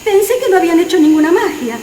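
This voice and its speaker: A woman's voice: extremely high pitch, lively intonation, racing, dark tone, slight background noise. An actress playing an imperious, suspicious queen in a radio play, with theatrical, haughty delivery. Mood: hushed, piqued. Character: imperious, suspicious queen fearful for her throne. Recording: echoey hall with long reverb.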